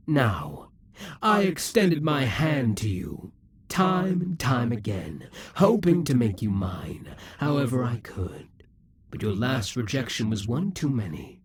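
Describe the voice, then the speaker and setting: A man playing a husky, vengeful demon king. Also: mid-range voice, big pitch swings, no room sound, exaggerated voice. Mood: scornful. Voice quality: very husky, breathy. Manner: slow, theatrical, hissing whispers at times. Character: proud vengeful male demon king, centuries old. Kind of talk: audio roleplay acting.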